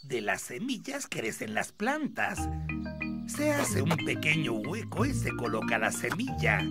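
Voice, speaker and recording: A man's voice: a little high, heavily acted. A man voicing a jolly old grandpa pig in a children's cartoon, explaining things simply to a child. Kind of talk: children's cartoon dub acting. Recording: loud music behind the voice.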